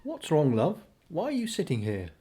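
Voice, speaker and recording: An actor in a radio play voicing an evasive but caring husband with a mild, cautious manner. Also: male voice, a little high, wildly swooping pitch, normal speed, background noise. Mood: concerned.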